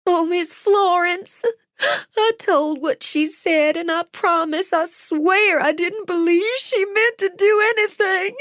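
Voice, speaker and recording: A woman voicing a hysterical young woman who sobs and pleads in a shrill voice. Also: slow, a bit bright, dry recording, clean studio sound, heavily acted. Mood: tearful. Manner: shrill, sobbing, gushing.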